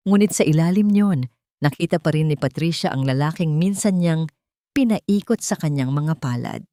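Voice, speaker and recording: Female storyteller for dramatic romance audio fiction, slightly low voice, dry studio-like sound. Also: warm tone, dry recording. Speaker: story narrator. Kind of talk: dramatic scripted romance narration.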